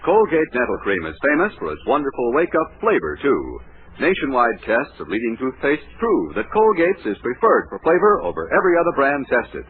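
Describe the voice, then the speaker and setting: Male American commercial announcer, 1940s radio, highly animated sales read, narrow band with faint noise. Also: moderate pace. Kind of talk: scripted 1940s radio advertising.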